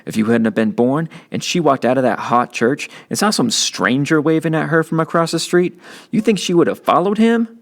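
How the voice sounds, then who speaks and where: Tough streetwise teen brother lecturing hard, as a man reads him, pitched up, lively. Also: brisk, vocal fry. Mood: insistent. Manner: scolding, slangy, forceful lecturing. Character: tough streetwise teenage older brother, blunt.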